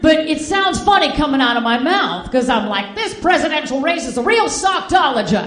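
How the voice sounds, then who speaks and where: A woman's voice: sits high, big pitch swings, moderate pace, a crowd in the background. An American woman from Boston doing stand-up comedy, talking in an old-fashioned 1940s manner. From Boston, United States. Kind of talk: stand-up comedy to a live audience. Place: indoor live comedy show, audience behind. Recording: echoey live room with audience.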